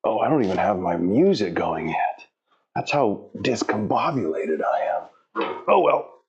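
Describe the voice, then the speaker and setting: A man's voice: fairly low pitch, sing-song, unhurried, husky, muffled recording. A middle-aged man talking casually to the camera in a home gym, chatty and a bit flustered as he sets up his workout. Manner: chatty, self-talking, flustered by equipment trouble. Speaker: fitness vlogger.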